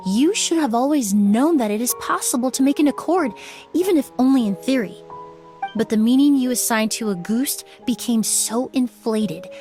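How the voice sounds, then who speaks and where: A woman playing a composed young perfumer in a video game, measured, articulate and earnest as she explains. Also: on the high side, a little mellow, lightly acted. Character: composed young female perfumer, earnest, firm former apprentice. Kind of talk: dramatic video game voice acting. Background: background music.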